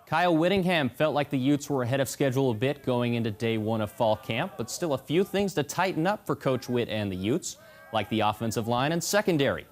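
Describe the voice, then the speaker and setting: A man's voice: medium pitch, expressive, brisk. A young American man anchoring a scripted sportscast with a punchy, polished broadcast delivery. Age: young adult.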